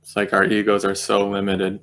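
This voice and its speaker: A man with a low voice guides a meditation in a very flat, even tone. He speaks gently and unhurriedly, leaving long pauses between phrases as he finds his words. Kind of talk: guided meditation, unscripted spiritual talk.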